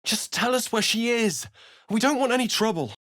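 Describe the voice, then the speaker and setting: A man voicing a gruff, protective brother from a farm in a fantasy video game. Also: very high-pitched, normal speed, fairly bright tone, dry recording, studio quality. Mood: guarded, insistent. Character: gruff protective brother of a missing young woman, farmer. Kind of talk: video game character dialogue.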